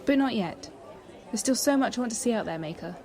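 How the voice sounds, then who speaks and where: A woman playing a curious, composed young space traveller in an audio drama, precise and gently teasing. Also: a little high, expressive, fairly quick, soft background music, a faint crowd, close to an everyday voice. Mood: fond, teasing.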